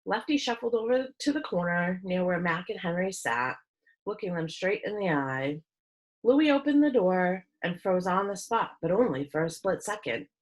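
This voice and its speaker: A woman reading a children's book aloud as a storyteller, with expressive narration. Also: lowish voice, moderate pace, low-quality recording.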